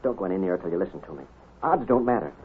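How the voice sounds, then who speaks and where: A man's voice: low-pitched, expressive, very fast, a little hiss or hum. A man playing a scheming captured outlaw who pleads urgently for his life in an old-time radio western. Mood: insistent, pleading. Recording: tinny old radio broadcast.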